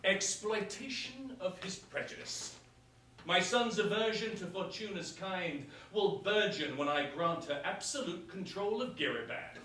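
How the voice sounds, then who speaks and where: A man's voice: high-pitched, a little slow, pushed and bright. A man playing a scheming, judgmental king and father in a staged verse comedy, with stately, declamatory delivery. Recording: echoey room, far mic, faint noise.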